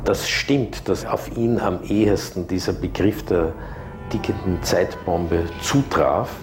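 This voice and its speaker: A man with a low voice gives analytical commentary very slowly and haltingly. The room echoes and music plays clearly behind him. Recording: roomy interview under music.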